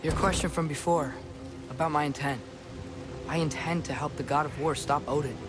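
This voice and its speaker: A man's voice: fairly high pitch, steady tone, moderate pace, music in the background, close to an everyday voice. An actor playing an earnest, restless teenage boy in a video game, with a light youthful voice and casual modern phrasing. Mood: earnest, frustrated. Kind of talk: video game dramatic acting.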